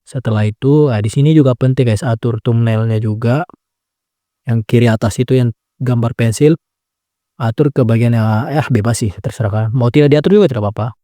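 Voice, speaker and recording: A man's voice: mid-range voice, brisk, warm tone, no echo, clean studio sound. Laid-back young man walking through a tutorial. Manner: laid-back, chatty, with frequent filler tags. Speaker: tutorial creator. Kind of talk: casual screen-recording tutorial.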